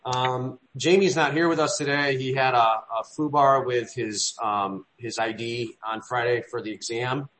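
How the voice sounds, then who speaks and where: A man chairs a work meeting, his talk looping through restarts and side stories at an ordinary pitch. His delivery is loose and conversational, drifting off before picking up again. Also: steady tone, unhurried, few pauses, a bit bright, poor audio. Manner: rambling, frequent restarts and trailing asides. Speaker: meeting leader. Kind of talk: business meeting.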